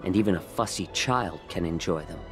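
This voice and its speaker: A man voicing a composed, sly fox-man in a video game, politely needling and gently mocking. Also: low-pitched, brisk, music in the background, natural voice. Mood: amused, teasing. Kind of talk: measured game character acting.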